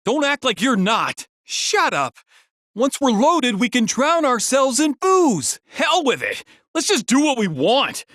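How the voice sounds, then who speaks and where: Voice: sits high, sing-song, slow, a bit bright, dry recording, clean studio sound, heavily acted. An actor playing a brash, reckless would-be looter in a video game. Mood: defiant. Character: reckless townsperson bent on drinking and robbing. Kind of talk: video game character acting.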